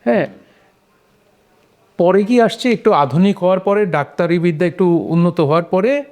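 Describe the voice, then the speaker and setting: A man's voice: sits high, normal speed, a little mellow. A man on a discussion panel, explaining his opinions on a short story in a casual conversational way.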